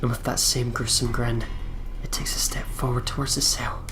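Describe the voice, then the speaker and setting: A man's voice: medium pitch, level tone, normal speed, fairly bright tone, in a whisper. A man hosting a let's play, reading visual novel narration aloud in a dramatic storytelling manner.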